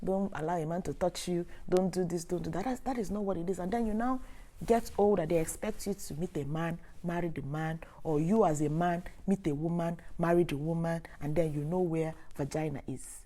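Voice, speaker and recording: A woman's voice: lowish voice, fairly quick, mellow. A woman with a Nigerian Igbo accent, a native Igbo speaker, talking emphatically to a live online audience as host, with rhetorical questions. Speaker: host, sex educator.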